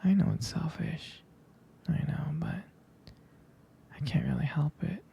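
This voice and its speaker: A man playing a tender, jealous, protective boyfriend in an intimate ASMR roleplay, murmuring hesitantly in a husky, gravelly whisper close to the microphone. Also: a little high, measured pace, dark tone, a little hiss or hum. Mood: possessive, earnest.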